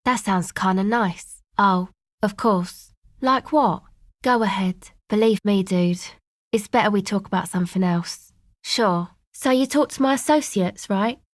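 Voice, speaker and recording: A dry, close studio recording of a woman playing a streetwise young woman in a video game. She talks in a laid-back, slangy way with offhand hesitations, blunt yet sympathetic, at a medium pitch. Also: unhurried, dry recording, natural voice. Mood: offhand, distracted, then curious, probing. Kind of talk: naturalistic video game dialogue.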